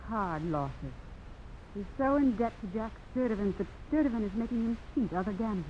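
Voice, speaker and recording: A woman's voice: mid-range voice, expressive, normal speed, natural voice. A woman plays a determined, lovelorn wife. Her delivery is intense and pleading, in old radio melodrama style. The sound is dull, with faint noise. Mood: worried. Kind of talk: 1950s radio melodrama acting.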